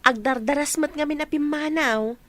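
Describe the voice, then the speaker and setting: A woman's voice: high voice, normal speed, fairly bright tone. A woman playing a chatty, supportive young best friend in an audio drama. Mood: curious, reassuring.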